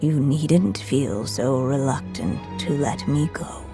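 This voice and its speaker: A woman playing a gentle, resolute dead mother who lingers as a magical echo in a video game, soft-spoken, breathy and measured. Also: rumbling low. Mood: tender. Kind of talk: dramatic video game voice acting. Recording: music behind the voice.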